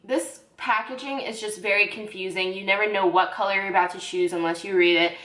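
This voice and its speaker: A woman's voice: mid-range voice, fairly even intonation, a little fast, fairly bright tone. A young woman casually reviewing beauty products, chatty and self-correcting, with lots of "like"s. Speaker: beauty vlogger. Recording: echoey room.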